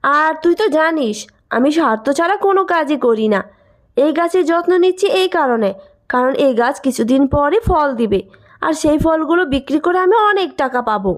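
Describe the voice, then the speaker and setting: A woman's voice: high-pitched, lively intonation, a little fast. A woman voicing a greedy, scornful village sister-in-law in a cartoon moral story, brusque and scolding. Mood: dismissive. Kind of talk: animated moral story acting.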